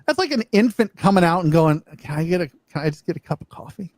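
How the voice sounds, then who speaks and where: A man's voice: high-pitched, fast, no room sound, studio quality. A man in his forties hosting a podcast, interviewing a guest in a joking, teasing way. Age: 40s. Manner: joking, teasing, animated delivery with laughs. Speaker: podcast host. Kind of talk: casual chat, interviewing a guest.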